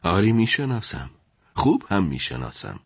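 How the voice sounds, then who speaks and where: A man's voice: on the low side, wildly swooping pitch, vocal fry, breathy edge, no echo, exaggerated voice. A man playing an anxious, wise judge in a dramatised historical story, grave, formal and deferential in manner. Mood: respectful, curious. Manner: grave, formal, worried delivery.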